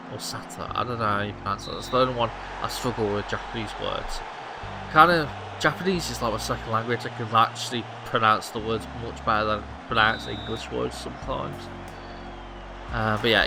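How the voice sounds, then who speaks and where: A man's voice: on the low side, background noise. A young man casually narrating a football management game, rambling play-by-play with fillers and restarts. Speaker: gaming youtuber. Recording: music playing behind.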